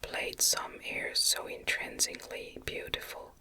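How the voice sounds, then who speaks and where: A woman reading a gothic novel aloud in a gentle, breathy ASMR whisper, with careful phrasing, close to the microphone. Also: slow pace. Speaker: audiobook reader.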